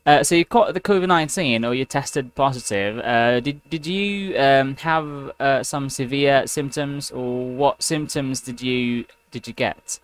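Young man, online English tutor on a headset mic; unhurried, clear, patient delivery. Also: mid-range voice. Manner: slow, patient, rephrases and spells words for the student. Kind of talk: online one-on-one English class. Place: home room, video call. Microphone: gaming headset with boom mic.

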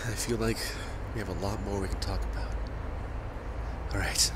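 An actor playing a grieving young male musician, who talks softly and tearfully, with choked breaths and small laughs. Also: fairly low pitch, fairly even intonation, moderate pace, very noisy, lightly acted. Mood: grateful, hushed. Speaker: voice actor in an audio roleplay. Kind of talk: emotional audio roleplay acting. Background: loud music underneath.

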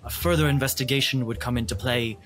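Man playing a halting, officious ship's steward. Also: mid-range voice, over music, natural voice. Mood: apologetic, officious. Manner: halting, apologetic, formal. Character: flustered, overly polite male ship's steward, officious. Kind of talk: tabletop roleplay acting.

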